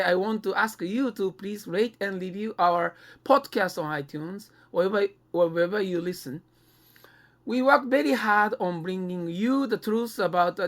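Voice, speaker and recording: A man with a Japanese accent co-hosts a podcast, speaking conversationally in halting non-native English. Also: high voice, a bit bright. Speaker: podcast co-host and filmmaker.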